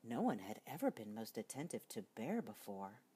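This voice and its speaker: An older woman reading a children's storybook aloud expressively, as a grandmother would, with deliberate emphasis. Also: rumbling low, big pitch swings, dark tone, slightly rough.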